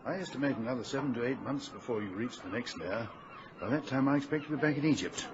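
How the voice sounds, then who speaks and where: An actor playing a courteous, learned gentleman archaeologist in a period radio drama, speaking formally and precisely. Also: mid-range voice, fast, natural voice. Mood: measured. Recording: muffled radio drama with faint background noise.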